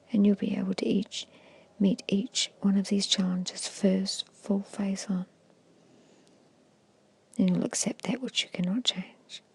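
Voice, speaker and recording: Breathy whispering woman, ASMR meditation guide. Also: mid-range voice. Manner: hushed, repetitive, gently instructing. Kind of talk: ASMR hypnosis meditation, soothing suggestions.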